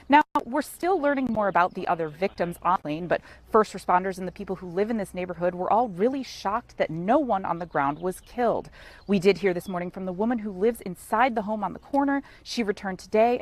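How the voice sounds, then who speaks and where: An American woman works as a TV field reporter, explaining things live. Her delivery is conversational and semi-scripted, with a medium-pitched voice.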